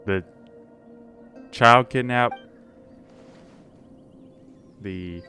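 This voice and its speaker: A man's voice: mid-range voice, very slow, pushed and bright, clean tone, soft background music. A man giving casual, offhand commentary while playing a video game. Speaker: gamer commenting on gameplay.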